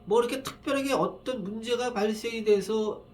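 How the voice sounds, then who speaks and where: A man with a cold and a roughened voice, tired from just waking up, talking in a rambling, halting way with many fillers as he gives fortune readings in a casual solo video. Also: high voice. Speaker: saju fortune reader.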